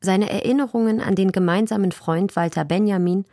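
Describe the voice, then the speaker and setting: A woman's voice: mid-range voice, fairly quick, mellow, no room sound, clean studio sound. A woman reading scripted narration for a radio documentary. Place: dry radio studio.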